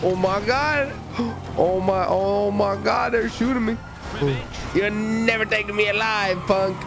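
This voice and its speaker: Hyped up gamer guy, kinda high voice that jumps all over, loud and sweary, music behind him. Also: a little slow, creaky. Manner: boisterous, swearing, frequent shouts and whoops. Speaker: gaming video host. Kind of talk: excited gameplay commentary.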